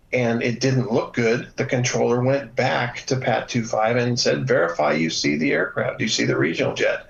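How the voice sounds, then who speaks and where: A man with a creaky, gravelly voice speaks as an expert guest in a news interview. He explains things in a measured, halting way with many hesitations. The sound is dull and lo-fi. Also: mid-range voice. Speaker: former air traffic controller, instructor, guest expert.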